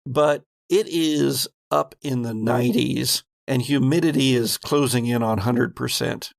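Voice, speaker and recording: A man's voice: medium pitch, unhurried, creaky, no room sound. A man acting as game master, narrating an improvised tabletop role-playing game in conversational speech.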